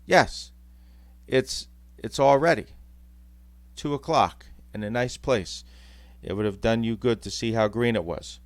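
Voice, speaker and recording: A man voicing Bob Cratchit, a kind, weary father who speaks warmly and a little haltingly. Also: medium pitch, no room sound, lightly acted. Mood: tender.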